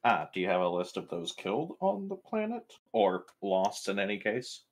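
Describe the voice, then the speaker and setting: A man's voice: on the low side, huge pitch swings, moderate pace. A man talking casually as a player in a tabletop role-playing game, speaking for his character.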